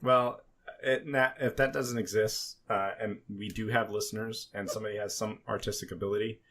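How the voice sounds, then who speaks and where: A man's voice: on the low side, fairly even intonation, moderate pace, fairly bright tone. A man co-hosting a casual podcast, telling rambling, joking stories peppered with swearing. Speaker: podcast co-host.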